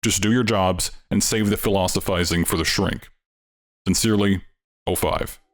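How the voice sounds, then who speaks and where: A man reading a formal letter aloud in a measured, grave manner. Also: low voice, fairly even intonation.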